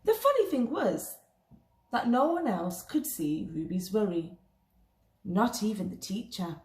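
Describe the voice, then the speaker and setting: Expressive, slow-paced woman reading a storybook. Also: medium pitch. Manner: dramatic, deliberate delivery with pauses for young listeners. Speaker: storybook reader. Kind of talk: expressive children's picture book read-aloud. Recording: echoey room.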